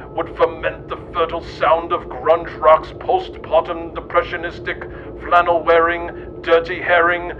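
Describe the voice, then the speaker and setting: A middle-aged man reading scripted comic narration like a storyteller, in a mock-grandiose, theatrical manner. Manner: mock-grandiose, theatrical delivery of absurd text. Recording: dull lo-fi sound with rumbling noise.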